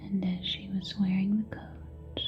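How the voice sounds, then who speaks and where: A woman telling a story in a soft ASMR whisper, close to the microphone from ear to ear, leaving long pauses between short phrases. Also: medium pitch, level tone, lo-fi sound, soft background music. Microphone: close binaural microphone, ear to ear.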